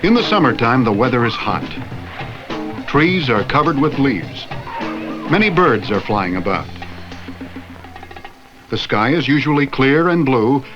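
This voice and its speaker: A man giving scripted educational narration in a slow, clear, teacherly way, asking the viewer simple questions. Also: mid-range voice. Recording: dull vintage film soundtrack with faint music.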